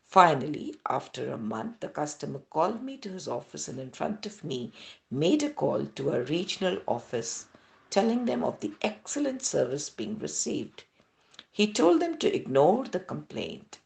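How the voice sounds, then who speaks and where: A woman with an Indian English accent reads a devotional narrative aloud from a text, with measured, deliberate phrasing. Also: sits low, big pitch swings, creaky, a little mellow, rough recording. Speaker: devotional reader.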